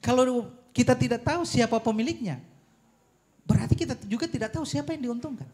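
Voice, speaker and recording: A man speaking as a panelist at a public forum, arguing a policy point in deliberate, emphatic phrases with rhetorical questions. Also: very high voice, lively intonation, a little mellow. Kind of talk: argumentative forum speech on policy.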